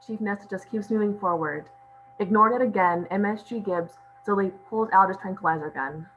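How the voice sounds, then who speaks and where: A woman's voice: medium pitch, brisk, fairly bright tone, dull audio, slight background noise. A woman reading stage directions as scripted narration at a table read.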